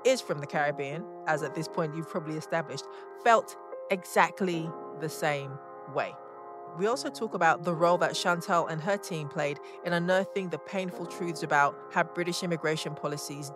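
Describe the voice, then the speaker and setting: A woman podcast host giving a scripted show introduction with a measured, emphatic delivery. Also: low-pitched. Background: background music.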